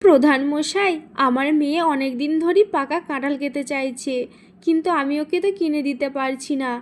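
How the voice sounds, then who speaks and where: Cartoon vixen mother, humble and emotional, very high pitch, played by a woman. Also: fairly quick, lightly acted. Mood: pleading. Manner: plaintive, emotional. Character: poor, pleading mother vixen. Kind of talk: cartoon story voice acting.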